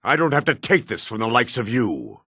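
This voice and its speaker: An actor playing a proud, gruff dark elf warrior in a fantasy game, with a raspy voice and a haughty, clipped, sardonic manner. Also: male voice, medium pitch, very animated, moderate pace, no room sound, clean studio sound, heavily acted. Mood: curt. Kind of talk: fantasy video game character dialogue.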